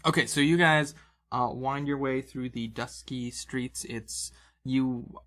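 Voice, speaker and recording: A man with a medium-pitched voice runs a tabletop role-playing game. He improvises scene description conversationally, addressing the player as he goes. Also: steady tone, slow, a bit bright. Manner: conversational, descriptive, with vocal sound effects. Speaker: game master, podcast host.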